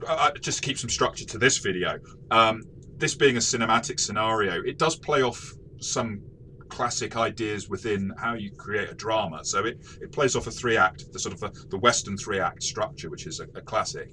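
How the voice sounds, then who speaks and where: A man's voice: fairly low pitch, normal speed, sharp tone. A man talking through a tabletop game review as a game master, in a casual, reflective, explanatory way. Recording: faint background noise.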